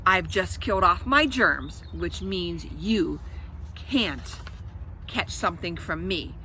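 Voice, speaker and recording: Woman storyteller as bossy, unflappable female babysitter, forceful bright tone, clipped orders, storybook reading with slight background noise. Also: lowish voice, animated, slow pace, exaggerated voice. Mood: brisk, firm.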